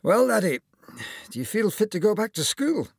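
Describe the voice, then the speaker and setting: A man's voice: sits high, very animated, normal speed, gravelly, slightly rough, no echo, clean studio sound, voice pushed up, full character voice. A man voicing a kindly, encouraging older male surgeon.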